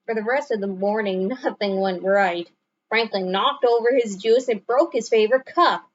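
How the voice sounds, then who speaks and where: A woman narrating a children's story aloud in an expressive storytelling manner. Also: medium pitch, normal speed, dull audio. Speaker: storybook reader.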